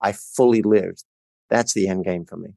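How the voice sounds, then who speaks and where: A man's voice: sits low, steady tone, moderate pace, creaky, mellow, no echo, clean studio sound. A middle-aged man with an Australian accent, telling his personal story earnestly and emphatically as a coach guesting on a podcast.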